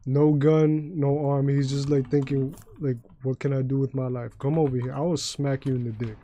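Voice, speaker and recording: A young man with a deep voice chatting loosely and profanely while streaming a game and taking chat requests. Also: little pitch movement, moderate pace, dark tone, a little hiss or hum. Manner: loose, profane, joking, sings badly on request. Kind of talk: casual gaming livestream chat.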